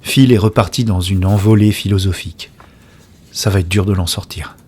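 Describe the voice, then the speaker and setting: A man's voice: sits low, fairly even intonation, normal speed, slightly rough. An actor playing an anxious, impatient man searching for his friend in a French science-fiction audio drama. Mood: weary, tense narration. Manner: alternates urgent dialogue with hushed introspective narration. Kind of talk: French audio drama acting with first-person present-tense narration.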